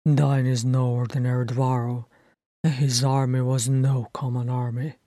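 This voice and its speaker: Woman voicing a gruff, sulky male dwarf prince. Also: a bass voice, little pitch movement, measured pace, dark tone, no room sound, studio quality. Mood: gruff, sullen. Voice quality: rough, gruff. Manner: curt, formal, archaic speech. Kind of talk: fantasy audiobook character voice.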